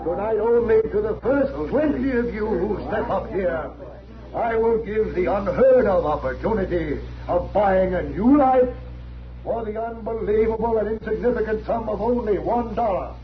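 An actor with an American accent playing a grandiose, folksy medicine-show salesman declaiming his pitch in a radio western. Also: normal speed, lightly acted. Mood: excited selling. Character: bombastic traveling medicine-show huckster selling tonic. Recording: dull old radio recording, crowd noise.

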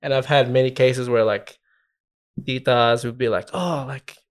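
A man's voice: mid-range voice, steady tone, measured pace. A man chatting casually and candidly, in an informal way with frequent check-in tags. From Australia. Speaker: podcast participant. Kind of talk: casual chat.

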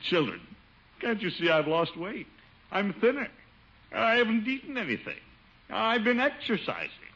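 A sentimental, blustering middle-aged uncle from a 1950s radio comedy. His voice is forceful and highly animated, with theatrical self-importance. The old broadcast sounds dull and slightly noisy. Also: normal speed, pauses often, husky, exaggerated voice. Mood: hopeful, fishing. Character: pompous, sentimental middle-aged uncle, comically put-upon. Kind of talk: 1950s radio sitcom acting.

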